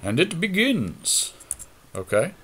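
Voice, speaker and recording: A man co-hosting casual gameplay commentary on a card game, thinking out loud with jokey banter. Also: fairly high pitch, wildly swooping pitch, slow pace, slight background noise. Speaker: podcast co-host playing an online card game.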